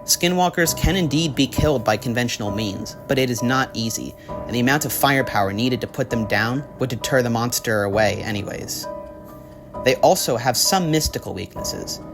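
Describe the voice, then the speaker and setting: A man's voice: mid-range voice, moderate pace. A young man reading scripted horror documentary narration in an ominous, dramatic storytelling way. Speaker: video essay narrator. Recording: music under the voice. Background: background music.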